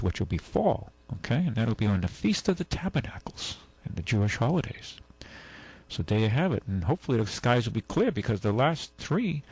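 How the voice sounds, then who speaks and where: A man with a low, husky voice hosts a radio show from a studio mic. He talks in a loose, rambling way, full of asides and restarts. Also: darkish tone, low-quality recording. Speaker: radio host. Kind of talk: casual talk radio monologue.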